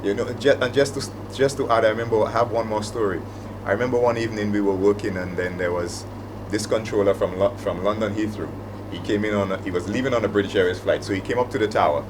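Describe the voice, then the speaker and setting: A man's voice: on the low side, fast, noisy background. A middle-aged man with a Saint Lucian accent, talking reflectively and conversationally as a panelist. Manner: conversational, anecdotal. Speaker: panelist, aviation operations manager. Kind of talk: reflective panel talk with anecdotes.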